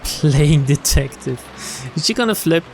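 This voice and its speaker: Male game streamer, lively and expressive, lighter pitch, narrating and commenting casually. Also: unhurried, noisy background. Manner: expressive reactions, casual swearing. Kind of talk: let's-play reading of a visual novel with casual commentary.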